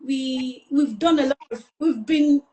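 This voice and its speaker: A woman's voice: high voice, unhurried, mellow, echoey room, slight background noise, low-quality recording. A woman from eastern Nigeria, a pageant finalist, answering interview questions in an earnest, rambling way with many fillers.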